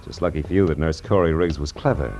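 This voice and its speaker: An actor playing a hard-boiled, world-weary private detective with clipped, sardonic delivery in a vintage radio drama. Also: male voice, rumbling low, fast talker, darkish tone, faint music behind. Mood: wry, easygoing. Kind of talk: 1940s radio acting, first-person narration and dialogue.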